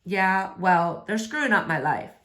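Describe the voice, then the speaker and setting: A woman reading the part of a sullen, heartbroken adolescent boy in terse, low-key replies. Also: expressive, measured pace, fairly bright tone. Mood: glum.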